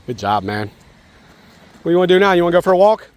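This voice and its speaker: A man's voice: sits high, very animated, racing. A man talking casually and encouragingly as a father to a young child, outdoors at a park. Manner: encouraging, informal, addresses child as man and bud. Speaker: father and vlogger.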